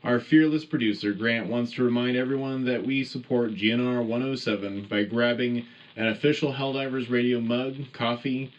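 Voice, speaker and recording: A man's voice: mid-range voice, steady tone, moderate pace, highs cut off, lightly acted. A man playing a patriotic wartime radio news anchor, hosting a scripted military broadcast with formal announcer delivery. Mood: friendly, promotional. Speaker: radio show host.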